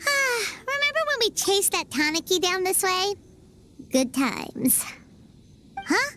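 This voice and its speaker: A very high, bouncy little companion in a game, swinging up and down in pitch as she chatters. She is nosy and chirpy, full of quick questions, with music under her. A tiny floating girl sidekick with a squeaky voice. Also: sing-song, sharp tone, exaggerated voice. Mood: curious. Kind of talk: game voice acting.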